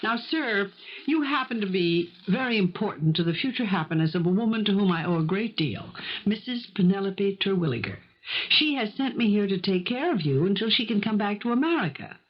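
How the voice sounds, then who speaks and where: A woman's voice: mid-range voice, lively intonation, brisk, close to an everyday voice. An actress playing a determined, protective woman in a 1970s radio mystery, articulate and insistent in her questioning. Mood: firm, insistent. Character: assertive, determined woman turned protective amateur investigator. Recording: dull vintage radio broadcast recording.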